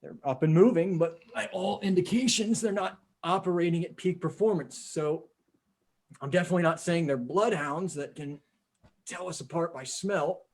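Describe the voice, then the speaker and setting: A man playing a determined, level-headed survivor in a zombie comic table read, reasoning aloud in measured, halting phrases. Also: high-pitched, darkish tone. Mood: reasoning, resolute. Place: remote video call table read.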